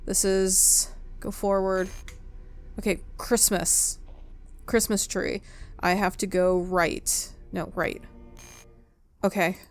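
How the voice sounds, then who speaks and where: Woman gamer talking to herself as she plays, slow and halting, normal mid pitch, casual. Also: flat delivery. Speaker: game player. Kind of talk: casual gameplay commentary, thinking aloud.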